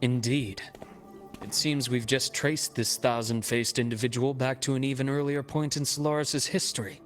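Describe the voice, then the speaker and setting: Man doing a composed game investigator, analytical, measured delivery, mid-range voice, faint music behind. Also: mid-range voice, steady tone, lightly acted. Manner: measured, thoughtful, explanatory. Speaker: game character. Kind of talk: game cutscene voice acting.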